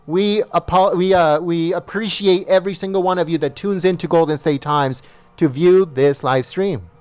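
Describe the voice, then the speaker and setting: A man with a high, smooth voice hosts a livestream, reading off viewer names one after another and thanking each the same way. His voice is wearing thin, and he trips now and then over names. The sound is dry and close to the mic. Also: normal speed, darkish tone, dry recording, soft background music. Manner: repetitive, reads names with small stumbles. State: losing his voice. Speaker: livestream host.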